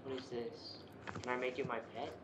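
A man's voice: medium pitch, flat delivery, a little fast, roomy sound, noisy background. A young man talking casually and bluntly to a friend while they play a video game, swearing playfully. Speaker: gamer in co-op let's play. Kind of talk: casual gaming chat with a friend.

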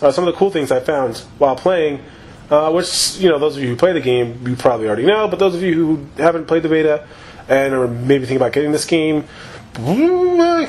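A man giving loose, profane, rambling commentary over his own gameplay, his voice slightly hoarse after a long session. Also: on the high side, low-quality recording. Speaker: gaming YouTuber.

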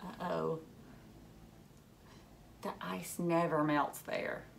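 A woman's voice: lowish voice, vocal fry, slight background noise. A woman in her thirties reading a storybook aloud to young children like a teacher, expressively, with questions and little asides. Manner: expressive, drawn-out storybook delivery. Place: furnished living room at home, indoors.

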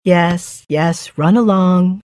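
A woman voicing a stern but indulgent older guardian, measured and matter-of-fact, in an animated children's story. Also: on the low side, dry recording, studio quality.

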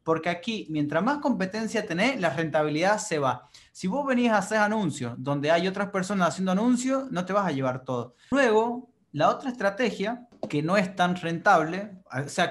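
A man, giving a casual, animated screen-share tutorial on online marketing. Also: sits high. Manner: animated, informal, addresses viewers as family.

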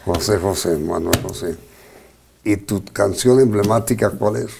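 An older man interviewing a guest in a TV studio, asking brisk, probing questions and stumbling over words at times. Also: on the low side, vocal fry, noisy background.

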